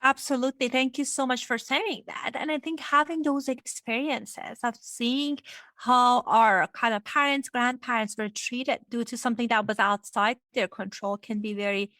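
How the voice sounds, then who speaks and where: A woman's voice: fairly high pitch, fairly even intonation, moderate pace, fairly bright tone, dry recording. Woman psychologist from Iran, close dry sound. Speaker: guest, clinical psychologist and sex therapist. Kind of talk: podcast interview, reflective explanation.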